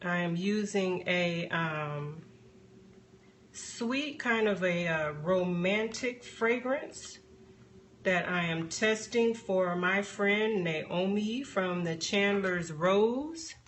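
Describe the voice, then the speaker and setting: A woman chatting casually on a live stream as she demonstrates a craft, unhurried and thinking aloud as she works. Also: on the low side. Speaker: soap maker hosting a live stream. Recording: background noise, live phone stream.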